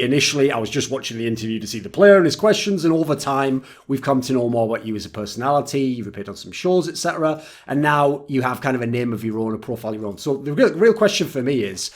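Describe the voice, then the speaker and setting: A man's voice: on the high side, darkish tone. A man hosting a casual interview, asking long, winding questions full of likes and restarts. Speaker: host.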